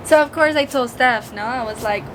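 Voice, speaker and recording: A woman from Colombia, a native Spanish speaker with a Colombian accent, chatting casually in halting English. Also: fairly high pitch, a loud crowd. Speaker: guest, partner of the host. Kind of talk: casual chat. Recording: noisy background.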